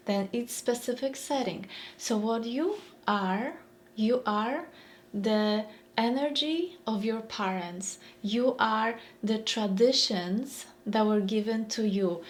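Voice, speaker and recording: A woman's voice: fairly high pitch, a little mellow. A woman in her forties with a Polish accent, giving a reflective motivational talk and repeating phrases as she searches for words. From Poland. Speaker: mindfulness speaker.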